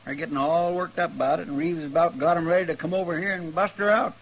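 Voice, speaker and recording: An actor playing a folksy, anxious, obedient marshal's helper in an old radio western, fretful in manner. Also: male voice, on the high side, fairly even intonation, quick pace, lightly acted. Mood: worried, urgent. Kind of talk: old-time radio western drama acting. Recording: noisy vintage radio recording.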